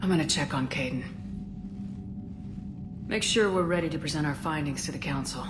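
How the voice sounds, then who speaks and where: Woman acting low, terse battle-hardened female commander. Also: normal speed, over music, lightly acted. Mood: grim, skeptical. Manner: terse, direct. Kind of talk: video game cutscene acting.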